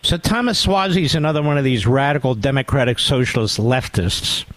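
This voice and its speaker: A man's voice: medium pitch, fairly quick, fairly bright tone, dry recording. A man in his sixties hosting a talk radio show, speaking emphatically and combatively.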